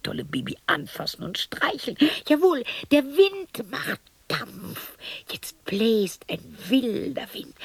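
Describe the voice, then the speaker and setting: An actress playing a lonely, eccentric widow of sixty in a radio play, muttering to herself in a dramatic, erratic, defiant way. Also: female voice, a little high, dry recording, heavily acted. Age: 60s. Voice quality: breathy, raspy. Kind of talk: radio play monologue acting.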